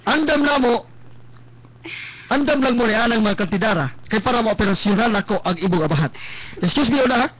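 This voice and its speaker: An actor playing a brisk, detached doctor, hurried and businesslike, in a radio drama. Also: male voice, high-pitched, animated. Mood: brisk, dismissive. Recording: muffled lo-fi stream with music.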